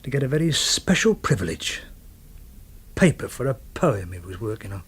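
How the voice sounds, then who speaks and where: An actor in a radio drama playing a former soldier who recounts wartime events in a halting, wry, understated way. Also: male voice, lowish voice, very animated, husky, exaggerated voice. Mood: bitterly wry.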